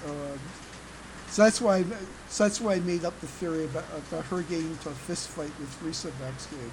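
A podcast host chatting casually in a halting way, often stammering and repeating words. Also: mid-range voice, a little mellow, poor audio. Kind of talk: casual chat. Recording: clear background noise.

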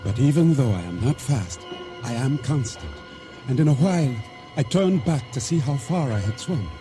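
An actor playing a solemn island boatman, narrating his ordeal at sea in measured, formal, deliberate words for a radio drama. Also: medium pitch, animated, natural voice. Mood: grave, measured. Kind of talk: dramatic first-person narration for radio theater. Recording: radio drama with music underscore.

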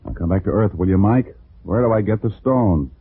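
Hard-boiled private eye male actor, deadpan and wry, deep register, 1940s radio sound. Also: brisk, slight background noise, close to an everyday voice. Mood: steady, sympathetic. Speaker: lead actor and narrator. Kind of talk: 1940s radio detective acting, first-person narration. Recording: tinny 1940s radio broadcast with music.

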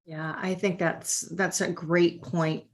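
A woman hosting an interview, asking measured questions and rephrasing them as she goes. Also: fairly low pitch, little pitch movement. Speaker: interviewer, host.

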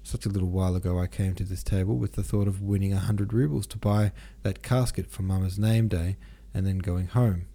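Anguished young Russian count for an audiobook read by a man: questioning, soft-edged dark timbre. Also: low-pitched, fairly even intonation, fairly quick, vocal fry, hardly any pauses. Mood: anguished, bewildered. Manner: anguished, questioning inner monologue. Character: distraught young Russian count losing at cards. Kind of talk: audiobook character reading.

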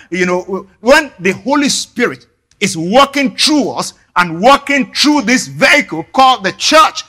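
A man from Nigeria, a native Yoruba speaker, preaching a sermon earnestly, often repeating words and filling pauses. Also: high voice, pushed and bright, slightly rough. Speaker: pastor.

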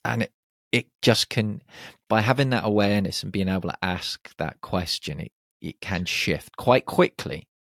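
Very deep, gravelly man host. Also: normal speed, dry recording, clean studio sound. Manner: relaxed, frequent short agreements. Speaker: podcast host. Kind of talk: casual interview conversation.

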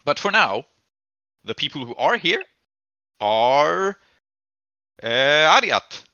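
A man with a very bright, forceful voice hosts a tabletop game podcast. He recaps casually, drifting into hesitant asides. The sound is dry and a little dull. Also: fairly high pitch, very animated, slow pace, no room sound. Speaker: podcast host and game master.

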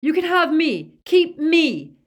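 A woman voicing a hot-headed, desperate teenage boy who shouts loudly in an audiobook. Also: high voice, big pitch swings, slow pace, pitched above the performer's own voice, full character voice. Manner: shouting, bellowing.

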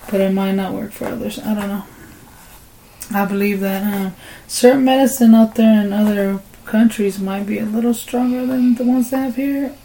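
A woman's voice: medium pitch, mellow, a little hiss or hum, rough recording. A woman in her forties co-hosting a casual podcast, agreeable and hesitant, with many fillers. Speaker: podcast co-host. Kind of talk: casual chat.

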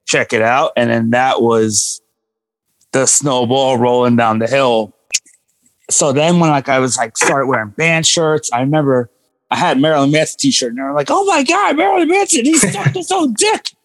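A man, a band member, telling casual anecdotes as an interview guest in an informal, animated way. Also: medium pitch, normal speed. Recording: long hall-like reverb.

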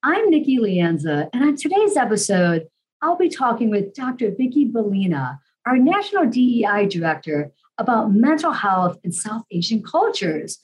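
A woman's voice: fairly high pitch, sing-song, normal speed, a little mellow, rough recording. A woman hosting a podcast, introducing her guest and asking questions in a friendly, affirming way. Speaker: podcast host. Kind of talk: podcast interview.

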